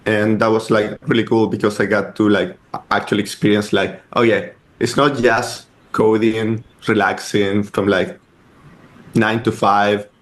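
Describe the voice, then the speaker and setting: A man's voice: fairly low pitch, little pitch movement, unhurried. A man with a Chilean accent, a guest on a podcast interview, telling his story in a rambling way full of "like" and "uh". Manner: rambling, heavy use of "like" and "uh". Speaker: guest, startup co-founder.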